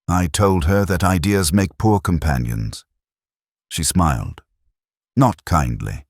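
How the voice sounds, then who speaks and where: A man narrating a first-person detective story in a measured, solemn, literary manner, with a gravelly, husky voice. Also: deep voice, no echo, studio quality.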